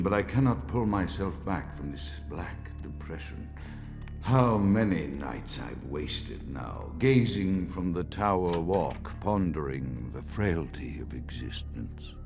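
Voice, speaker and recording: A man's voice: sits low, lively intonation, unhurried. An actor playing an erudite, morbid vampire alienist in a video game, dictating his journal in florid, theatrical language. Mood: gloomy, languid. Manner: pompous, florid, theatrical diction. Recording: music behind the voice.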